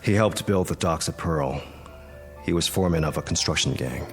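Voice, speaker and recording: An actor playing a troubled, quick-tempered young man in a radio drama, hesitant, brooding and intense. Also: extremely low pitch, faint music behind. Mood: solemn recollection.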